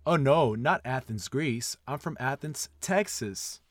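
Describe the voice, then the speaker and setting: A man's voice: medium pitch, lively intonation, a little slow, dry recording, clean studio sound. An actor playing a friendly man making polite everyday conversation in a scripted language-test dialogue.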